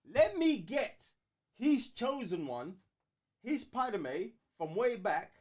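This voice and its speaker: A man with a London accent and a slightly raised pitch chats casually as a co-host. He leans on slang and circles back over his words while he builds a point. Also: big pitch swings, slow, darkish tone. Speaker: co-host of a fan talk show. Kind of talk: casual chat.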